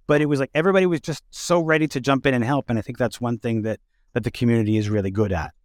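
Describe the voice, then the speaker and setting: A man's voice: mid-range voice, steady tone, racing, no echo, clean studio sound. A man hosting a casual, conversational interview show. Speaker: podcast host interviewing theatre artists.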